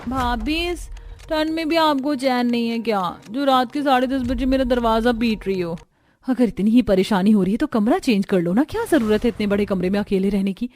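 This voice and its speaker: A woman's voice: on the high side, normal speed, a little mellow, lightly acted. A woman voicing an irritable, possessive young sister-in-law in a Hindi family cartoon, snappy and defensive. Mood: angry.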